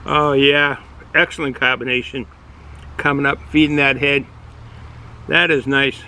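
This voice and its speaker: A man's voice: medium pitch, flat delivery, bright, forceful tone, poor audio. Boston man rambling through a beer review. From Boston area, United States. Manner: rambling, halting, many fillers and restarts. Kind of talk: casual unscripted review. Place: outdoors, sun in his eyes.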